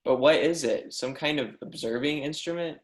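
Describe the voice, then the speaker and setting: A man's voice: on the high side, moderate pace, natural voice. Man acting a young mathematician student, eager, pleading, wide-eyed, insistent, stage drama reading, roomy echo. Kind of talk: readers theatre stage reading. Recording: roomy, echoing sound.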